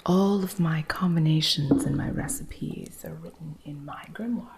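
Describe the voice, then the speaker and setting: A woman voicing a stern, impatient witch who runs a curio shop, in a soft whispered ASMR roleplay with a strict, haughty manner. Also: deep voice, gravelly, a little hiss or hum. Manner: strict, haughty, slow and deliberate.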